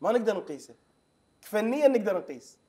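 A man in his thirties with an Iraqi accent, a religious elegy reciter answering interview questions softly and briefly, seated in a TV studio. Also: high voice, big pitch swings, fast talker, a crowd in the background. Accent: Iraqi Arabic. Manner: soft-spoken, agreeable short replies. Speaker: Husayni elegy reciter guest. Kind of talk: conversational interview with chanted lament verses. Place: TV studio set, indoors. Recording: roomy studio echo.

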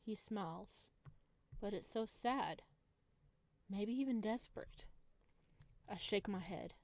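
A woman's voice: mid-range voice, takes it slowly, a little mellow, no room sound. A woman hosting a gaming video, reading visual novel narration expressively with casual asides.

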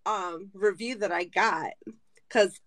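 A woman, a Spanish native speaker, talks over a webcam in a dry, close sound. She tells her author story with open, animated candor at an ordinary pitch. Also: slow pace, no room sound. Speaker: author guest. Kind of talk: casual video call panel chat. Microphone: video call webcam.

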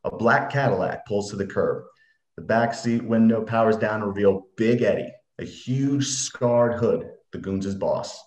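A man's voice: lowish voice, a little slow, darkish tone. A man reading screenplay stage directions as narrator in a scripted reading. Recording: roomy echoing computer mic.

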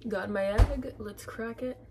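A woman's voice: a little high, measured pace, heavy background noise. A young woman chatting casually through a bake-with-me vlog in her home kitchen, narrating each step as she goes. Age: young adult. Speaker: vlogger.

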